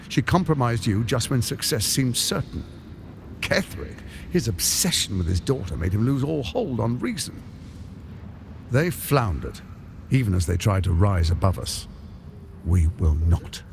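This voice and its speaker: A man playing a calculating tyrant lord in a video game, measured, persuasive and grandiose. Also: on the low side, vocal fry, noisy background, exaggerated voice. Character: suave, calculating male tyrant lord courting an ally. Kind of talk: dramatic video game character acting.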